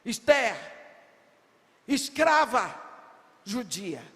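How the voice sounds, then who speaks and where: An older woman preaching a sermon to a women's congress, emphatic, with rhetorical questions and bursts of shouting. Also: on the high side, big pitch swings, very slow. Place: large church hall. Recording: long reverb of a big hall.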